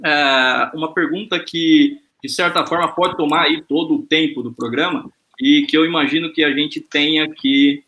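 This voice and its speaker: Bright-voiced man hosting an informal panel. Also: a little high, moderate pace, few pauses. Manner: relaxed, lightly joking, with hesitant fillers. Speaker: host. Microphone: video call.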